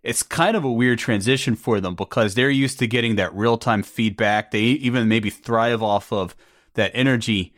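A man hosting a podcast, relaxed and conversational as he asks questions and adds context. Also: medium pitch, normal speed, dry recording, clean studio sound. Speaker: podcast host. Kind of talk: conversational interviewing.

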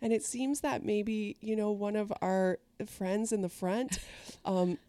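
A middle-aged woman, an ordained minister, hosting a podcast: a scripted welcome, then animated, laughing chat. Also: medium pitch, a little slow, dry recording, studio quality. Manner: animated storyteller who laughs often.

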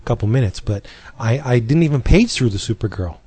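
A man's voice: mid-range voice, lively intonation, a little fast, warm tone, husky. A man hosting a comic book podcast in casual chat, rambling and correcting himself as he goes. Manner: rambling, self-correcting, laughs often. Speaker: podcast host.

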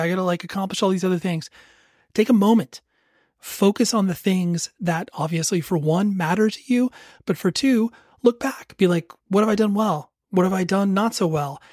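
A woman's voice: fairly low pitch, a little mellow, no echo. Woman reading an ad, dry close sound. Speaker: sponsor ad reader. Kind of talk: conversational ad read.

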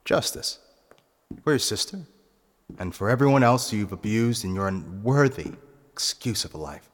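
A man plays a vengeful phantom-like nobleman with a low voice and cold, measured menace. His words are formal and deliberate, ringing with long hall reverb in a fantasy audio drama. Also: expressive, lightly acted. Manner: deliberate, cold, eloquent threats.

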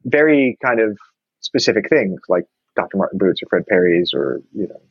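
A man's voice: deep voice, animated, normal speed, husky, no room sound, highs cut off. A man, talking thoughtfully in a casual conversation with many restarts. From New York. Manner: reflective, many restarts and fillers.

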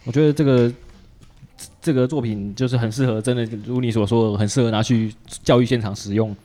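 A man's voice: mid-range voice, steady tone, fairly quick, mellow. A man with a Taiwanese Mandarin accent hosts a casual interview, commenting and asking in an informal, opinionated, wry way. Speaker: podcast host.

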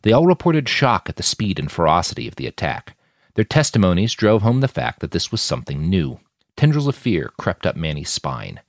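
Male audiobook narrator: deep register, lively inflection, scripted storytelling, dry sound. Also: a little fast, gravelly, dry recording. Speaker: author narrator.

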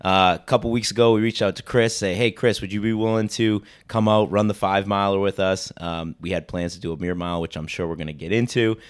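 A man hosting a podcast from his living room, chatting casually with friends, laughing often and hoarse from losing his voice. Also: on the low side, fairly even intonation, brisk, hardly any pauses. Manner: laughing often, rambling storytelling. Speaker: podcast host. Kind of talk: casual chat.

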